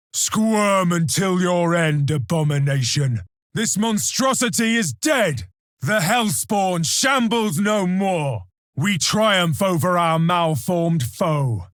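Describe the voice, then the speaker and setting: A man's voice: sits high, fairly bright tone, dry recording, studio quality, full character voice. A man playing a proud, zealous space marine warrior in a video game, declaiming solemnly and commandingly with contempt for his foes. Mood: cold contempt, then fierce triumph. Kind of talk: video game combat voice lines, theatrical declamation.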